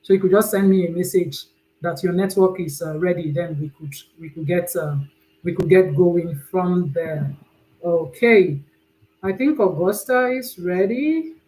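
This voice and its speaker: A woman's voice: fairly low pitch, measured pace, warm tone, poor audio. A woman with a Nigerian accent, from Nigeria, hosting an online panel on a video call in a chatty way, often restarting her sentences. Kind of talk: casual live-stream hosting. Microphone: computer mic on a video call.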